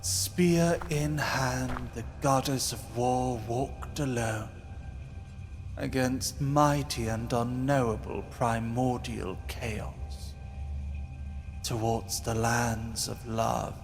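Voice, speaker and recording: A man's voice: mid-range voice, steady tone, extremely slow. A man narrating a fantasy tale in a dramatic, scripted style, solemn and portentous. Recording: long reverb, music behind.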